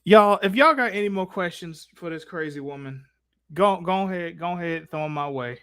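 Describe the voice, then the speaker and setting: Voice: medium pitch, moderate pace, a bit bright, clean tone, no room sound, clean studio sound. A host of a casual live Q&A who talks in an informal, slangy way, often repeating phrases. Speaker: host taking audience questions.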